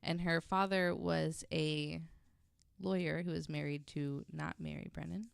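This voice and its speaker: A woman's voice: sits low, steady tone, unhurried, vocal fry, clean tone, no room sound. A woman telling a story as a podcast panelist in an informal, somewhat hesitant way.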